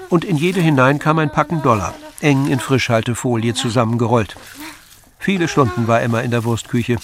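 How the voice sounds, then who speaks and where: A man's voice: medium pitch, brisk. A man reading scripted literary narration as the narrator of an audio drama. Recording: clear music bed behind voice.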